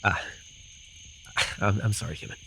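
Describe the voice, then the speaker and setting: A man's voice: normal speed, sharp tone, lightly acted. A man acting a rambling, amused forest fae in a fantasy ASMR roleplay, telling his tale with hesitant, apologetic asides. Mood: apologetic, gentle. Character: rambling, amused male forest-dwelling fae storyteller with bird companion. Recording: noisy with cricket and insect ambience. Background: night forest ambience with crickets and insects.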